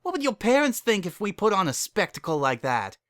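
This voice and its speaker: Man doing an earnest, high-pitched female pony. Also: sing-song, brisk, fairly bright tone, dry recording, clean studio sound. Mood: worried, eager. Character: fretful, sensible, affectionate female pony fiancée. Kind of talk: dramatic reading of fan fiction.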